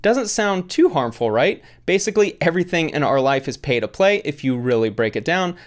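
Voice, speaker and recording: A man's voice: a little high, big pitch swings, fairly quick. An American man in his thirties delivering scripted narration as the host of a video essay, close on a desk microphone. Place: small room with bookshelves, indoors. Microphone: desk condenser mic with pop filter.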